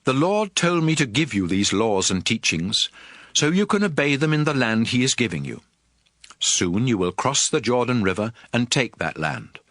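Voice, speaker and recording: A man's voice: medium pitch, sing-song, normal speed, a bit bright, no echo, clean studio sound. An actor playing a solemn, commanding male prophet who addresses his people with emphatic oratory in a dramatized audio Bible. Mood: earnest.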